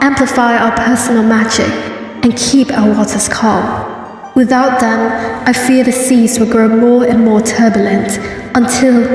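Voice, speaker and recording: A woman's voice: on the high side, darkish tone, close to an everyday voice. A woman voicing a poised, earnest sea-pony princess in a cartoon comic dub, speaking formally and measuredly. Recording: very echoey, distant, music behind.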